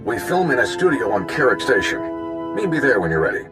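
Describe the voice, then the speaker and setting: An actor playing a personable, showy galactic news reporter and host in a video game, with polished delivery. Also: male voice, mid-range voice, big pitch swings, a little fast, music in the background. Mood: chummy, persuasive. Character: smooth, chatty male galactic news reporter and show host.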